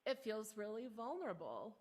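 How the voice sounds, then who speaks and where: A young adult woman, a voice student, talking softly and searchingly with many fillers about how singing felt. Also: mid-range voice, sing-song, moderate pace. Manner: soft, searching, filler-heavy. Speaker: voice student in masterclass. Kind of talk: casual reflective talk.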